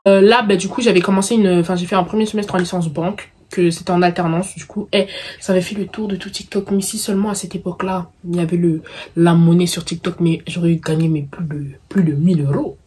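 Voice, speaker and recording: A woman's voice: lowish voice, steady tone, fast talker, a little mellow, slight background noise. A woman in her early twenties with a Parisian suburban French accent chats casually and playfully to camera in slangy speech, tossing in English catchphrases. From Paris suburbs, France; of Congolese descent. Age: early 20s. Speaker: vlogger answering viewer questions.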